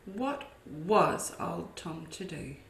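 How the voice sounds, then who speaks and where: A woman's voice: low-pitched, animated, slow, vocal fry, slight background noise. A woman, a children's educator, reading a farm story aloud expressively and turning to her young listeners with asides. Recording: echoey room.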